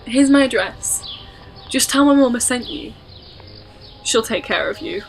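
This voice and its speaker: A woman's voice: fairly high pitch, measured pace, slight background noise. A woman playing an inquisitive young female companion of a time traveller in a fan audio drama. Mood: friendly, questioning.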